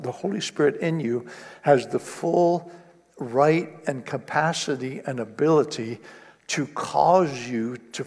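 A man's voice: mid-range voice, gravelly, a little mellow, slightly rough. A man preaching a sermon in an earnest way, building to emphatic repetition. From East Africa. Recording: long reverb of a hall.

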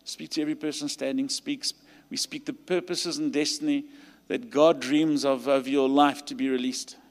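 A man with a South African accent preaching a sermon and leading a call to stand, his delivery rising to emphatic peaks and dropping to quiet pleading prayer. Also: medium pitch, fairly even intonation, moderate pace. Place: large church hall. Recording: long hall reverb.

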